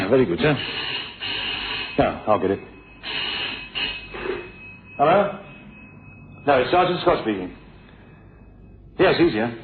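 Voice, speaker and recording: An actor playing a respectful, attentive police sergeant and sidekick in a radio drama, brisk and deferential. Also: male voice, medium pitch, sing-song. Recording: narrow, hissy old radio recording.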